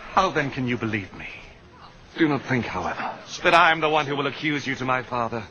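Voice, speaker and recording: An actor playing Jesus as a fervent preacher challenging unbelievers in a film, emphatic and declamatory. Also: male voice, mid-range voice, expressive, brisk. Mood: impassioned. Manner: declamatory, emphatic, swinging from hushed to shouting. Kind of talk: dramatic film acting of scripture. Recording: muffled film soundtrack with loud noise.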